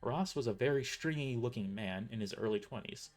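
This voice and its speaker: A man's voice: medium pitch, fairly quick, soft background music. Man narrating a story, stumbling as he reads. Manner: stumbles and restarts sentences while reading. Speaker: author narrating his novel. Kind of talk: first-person audio story narration.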